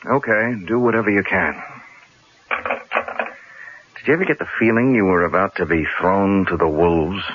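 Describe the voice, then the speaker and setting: An actor playing a wry, worried newspaper columnist in a radio drama, with a dry, measured manner. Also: male voice, deep voice, very noisy, natural voice. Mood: wry, tense. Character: wry, worried male newspaper columnist facing a death threat. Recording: muffled old radio drama recording.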